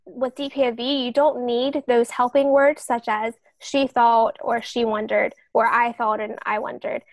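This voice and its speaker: High-voiced woman explaining, dry sound. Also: level tone, unhurried, no room sound. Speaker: writer and podcast panelist. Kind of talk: conversational explanation.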